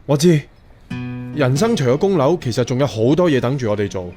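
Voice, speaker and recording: A man's voice: mid-range voice, animated, brisk, warm tone, faint music behind, lightly acted. An actor with a Hong Kong Cantonese accent playing a resigned young man weighed down by a mortgage, speaking conversationally in a radio drama. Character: young man, resigned mortgage-burdened would-be model maker.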